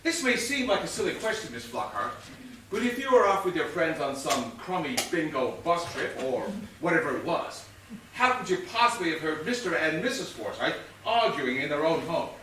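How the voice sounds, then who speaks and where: Far off on an echoey theatre stage, an actor plays a blustering, sardonic male police inspector. His delivery swoops with exasperation and halts for heavy comic emphasis. The voice is bright and forceful. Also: a little high, big pitch swings. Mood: impatient, interrogating. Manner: exasperated, halting, heavily emphatic. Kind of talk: comic stage play acting. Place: theatre stage, picked up from a distance. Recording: distant echoey theatre stage recording, faint noise.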